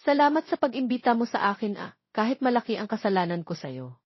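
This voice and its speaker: A woman's voice: on the high side, sing-song, fast, breathy edge, no echo. A woman voicing a contrite, grateful young mother, friendly and apologetic, in an audio story. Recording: dull lo-fi audio, very dry.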